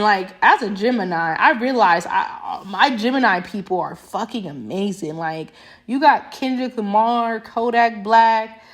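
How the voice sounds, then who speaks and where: A young woman talking straight to camera in a passionate, emphatic monologue that rambles with restarts. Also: mid-range voice. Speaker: vlogger.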